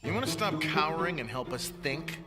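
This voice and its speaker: A man voicing a grumpy, self-centred cartoon cat sidekick with deadpan, wisecracking delivery. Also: fairly high pitch, a little fast, over loud music, lightly acted. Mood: sarcastic, impatient. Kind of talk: animated cartoon acting.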